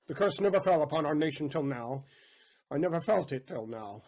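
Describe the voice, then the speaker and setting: An actor playing a bitter, vengeful moneylender and betrayed father in a Shakespearean audio play, with a husky voice and theatrical, emphatic delivery. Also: on the high side, expressive, a little fast. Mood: anguished grief. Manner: theatrical, emphatic, swinging between hushed and loud.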